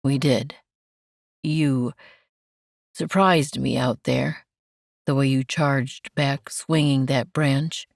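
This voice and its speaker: A woman audiobook narrator gives voice to a stoic, guarded rancher man in a romance story. The voice sits very low and moves slowly, with a reserved, halting delivery. The sound is close and dry. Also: steady tone, vocal fry, no echo, heavily acted. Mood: reserved, softly sincere. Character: guarded, stoic young male Montana rancher.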